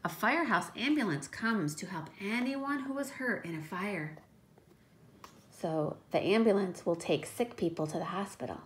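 A woman with a medium-pitched voice reads aloud as a teacher to young children. She speaks in a child-directed, questioning manner, adding explanations as she goes. The recording has clear background noise and room ambience. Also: expressive, moderate pace. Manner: child-directed, questioning, emphatic on read text. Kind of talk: read-aloud storytime with explanatory asides.

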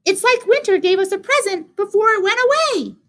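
A woman voicing a tiny, cheery, eager mouse in a storybook, squeaky and chirpy. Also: expressive, fairly quick, fairly bright tone.

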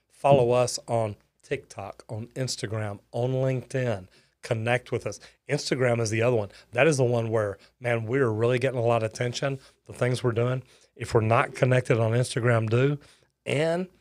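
An older man hosting a casual livestream, folksy and friendly, on a close boom mic in a small studio. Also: no room sound. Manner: folksy, thanks viewers by name. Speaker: host. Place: studio with shelves.

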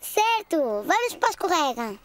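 A performer voicing a playful, excitable preschool boy in a European Portuguese children's cartoon dub. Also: very high-pitched, moderate pace, heavily acted. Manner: giggly, exuberant.

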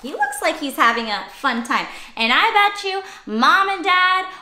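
A young adult woman reading a picture book aloud to young children in an animated, exclamatory, questioning way. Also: extremely high pitch, a little slow. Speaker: children's read-aloud host. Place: small cozy indoor room. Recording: roomy indoor echo.

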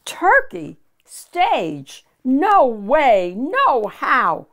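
An older woman voicing a panicky, excitable male turkey from a children's storybook, with dramatic exclamations. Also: sits high, drawn-out words, voice pushed up, heavily acted. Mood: alarmed disbelief.

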